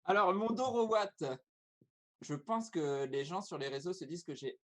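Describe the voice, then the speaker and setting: A man's voice: high voice, lively intonation, normal speed, fairly bright tone. Playful man hosting a quiz. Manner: playful quizmaster, teasing. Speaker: talk show host. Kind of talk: live online talk show quiz. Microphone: video call.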